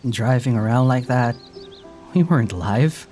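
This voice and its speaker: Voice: mid-range voice, expressive, moderate pace, natural voice. An actor playing a tender, grounded companion who speaks earnestly in an audio drama, with faint music underneath. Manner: gentle, earnest.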